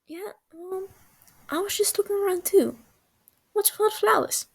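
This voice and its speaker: A nosy, prying young man who keeps pressing with questions, as a woman puts him on. His voice climbs high above the reader's own, with a gravelly rasp underneath. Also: normal speed, dry recording. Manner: probing, questioning.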